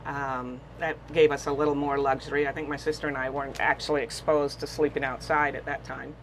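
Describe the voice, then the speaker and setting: A woman's voice: very deep voice, flat delivery, brisk, a little hiss or hum, low-quality recording. An older woman from the Bahamas, chatting casually in an interview aboard a small boat, telling stories with many fillers. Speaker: guest boater.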